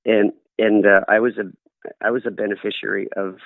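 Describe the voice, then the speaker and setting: Gravelly man on a tinny line. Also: fairly low pitch, level tone, fairly quick, no room sound. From Canada. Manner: earnest, storytelling with acted-out quotes. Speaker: guest. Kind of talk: conversational interview answers. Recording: dry, tinny phone-like line.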